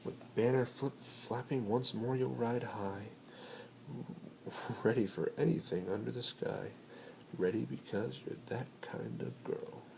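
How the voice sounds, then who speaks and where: A man reading a children's picture book aloud in an expressive, playful, rhythmic way with dramatic pauses. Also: mid-range voice, vocal fry. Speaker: bedtime story reader. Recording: noisy amateur home recording.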